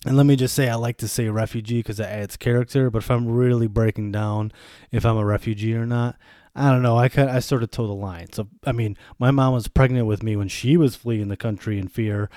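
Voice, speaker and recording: A man's voice: medium pitch, fairly even intonation, a little fast, dark tone, dry recording, clean studio sound. A man of thirty hosting a solo podcast, venting candidly in a sardonic, freely swearing way. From born in Germany to Bosnian refugees, raised in the US. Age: 30s. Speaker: podcast host.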